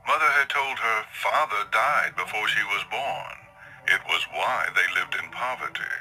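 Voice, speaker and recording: A man narrating a horror story as a dramatic, theatrical scripted reading, at times with hushed emphasis. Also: lowish voice, moderate pace, rough recording.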